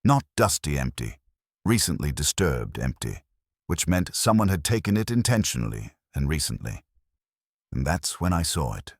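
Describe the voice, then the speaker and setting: A man with an extremely low voice narrates a detective story. His delivery is wry and dramatic, swinging widely in pitch. The voice has a husky grain. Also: big pitch swings, moderate pace, no room sound, clean studio sound. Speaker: audiobook narrator. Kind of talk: scripted first-person detective story narration.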